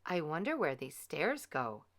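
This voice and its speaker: A woman voicing a curious teenage princess from a storybook, soft and wondering. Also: deep voice, very animated, unhurried. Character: curious young princess of sixteen.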